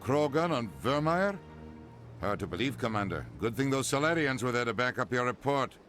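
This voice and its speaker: A man's voice: medium pitch, lively intonation, moderate pace, music in the background, lightly acted. A man playing a wry, pragmatic officer advising his commander in a video game. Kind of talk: video game dialogue acting.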